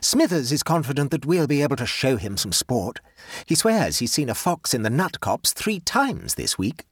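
A man's voice: medium pitch, sing-song, normal speed, slightly rough, no room sound, clean studio sound. A man voicing an imperious, self-satisfied middle-aged English country hostess who instructs haughtily. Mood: heavily satisfied.

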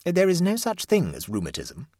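A man's voice: on the high side, huge pitch swings, fast talker, a little mellow, husky, no room sound, clean studio sound, voice pushed up, exaggerated voice. A man voicing an earnest, stubborn woman neighbour in a storybook, preachy and defiant about her beliefs.

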